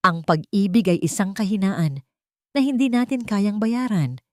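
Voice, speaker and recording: A woman voicing a proud older rich matriarch in a dramatic story, calm, hard and without remorse. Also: mid-range voice, normal speed, dry recording, studio quality, natural voice. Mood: unyielding. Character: cold, proud, unrepentant older wealthy matriarch.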